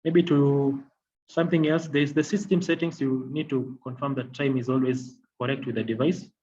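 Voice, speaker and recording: A man giving a software demonstration as a technical trainer, explaining in a halting, repetitive way. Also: mid-range voice, fairly even intonation. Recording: dull lo-fi audio, faint noise.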